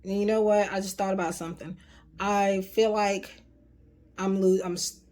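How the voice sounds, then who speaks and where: A woman giving a casual, rambling tarot reading to her viewers, her voice going a bit hoarse. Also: mid-range voice, little pitch movement, normal speed, a little mellow. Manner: chatty, rambling, frequent fillers. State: voice getting hoarse. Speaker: tarot reader.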